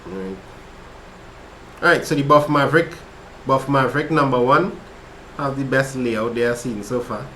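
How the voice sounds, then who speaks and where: A man's voice: mid-range voice, moderate pace, roomy sound, slight background noise, low-quality recording. A man with a Trinidadian accent who tutors maths over a casual livestream and chats with viewers between steps. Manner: relaxed, chatty, reads chat aloud while working problems. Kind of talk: casual livestream study session.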